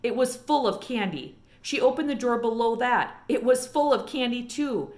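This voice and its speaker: Woman narrator for children's read-aloud: slightly high voice, animated, expressive storytelling. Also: normal speed, reverberant.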